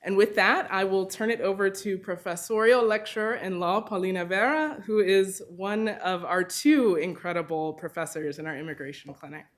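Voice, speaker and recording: A woman's voice: mid-range voice, steady tone, normal speed, hardly any pauses. A woman hosting an event, giving formal prepared opening remarks with occasional uhs. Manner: formal, announcing, with some uhs. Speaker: event host.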